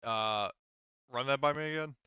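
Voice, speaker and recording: A man game-mastering a tabletop roleplaying game in casual talk, halting as he thinks aloud. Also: medium pitch, pushed and bright, clean tone, no echo, highs cut off.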